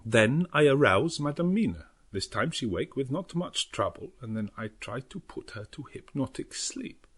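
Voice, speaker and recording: Earnest old professor, swooping intonation. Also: male voice, medium pitch, very animated, a bit bright, husky. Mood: weary, anxious. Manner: halting, non-native English phrasing, deliberate and dramatic. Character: elderly foreign professor, earnest, grave, devout vampire hunter. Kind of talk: dramatic audiobook narration of a journal.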